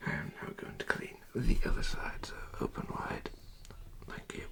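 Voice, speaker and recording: A person whispering slowly and soothingly in a breathy, creaky voice as a dentist instructing a patient in an ASMR roleplay. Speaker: ASMR creator playing a dentist. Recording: lo-fi close-up ASMR recording.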